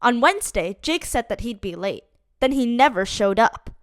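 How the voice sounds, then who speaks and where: A woman giving a lively, expressive read-aloud of a children's picture book. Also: fairly high pitch, normal speed, no echo, studio quality. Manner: lively, expressive reading for kids.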